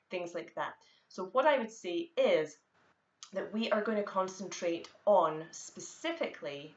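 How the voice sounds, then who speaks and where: A woman with a Scottish accent, the founder of a fitness company, giving a chatty, direct vlog talk full of fillers. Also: fairly low pitch. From Scotland. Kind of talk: casual vlog monologue. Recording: echoey, dull lo-fi room sound.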